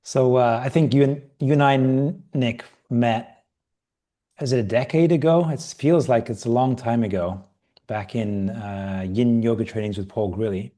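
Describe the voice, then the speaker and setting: A middle-aged man from Britain who grew up partly in Asia, talking casually as an interview guest in a reflective, halting way. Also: medium pitch, mellow. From Britain, raised partly in Asia. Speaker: podcast guest, yoga and qigong teacher trainer.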